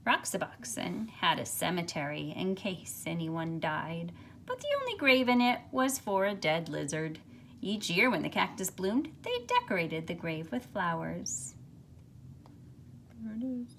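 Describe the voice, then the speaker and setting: A woman's voice: lowish voice, sing-song, measured pace, a little hiss or hum. Middle-aged woman, teacher reading to children. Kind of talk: children's picture book read-aloud. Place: carpeted room at home, indoors. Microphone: phone at arm's length.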